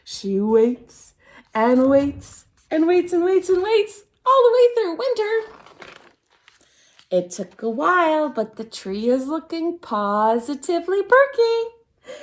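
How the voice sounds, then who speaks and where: A woman reading a children's picture book aloud as a storytime host, expressively, with theatrical, drawn-out emphasis on key words. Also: very high voice, big pitch swings. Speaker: storytime reader at a dance centre.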